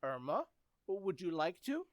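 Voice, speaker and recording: A woman's voice: on the low side, sing-song, a little slow, dry recording, studio quality. A woman playing an eager, coaxing, earnest teenage boy in an old-time radio comedy.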